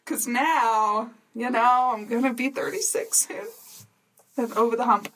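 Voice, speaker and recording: A woman's voice: a little high, unhurried, a bit bright, low-quality recording. A woman of thirty-five from Switzerland, co-hosting a podcast and chatting casually and informally about her life, often breaking into giggles. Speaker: podcast host. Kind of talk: casual chat, sharing personal stories.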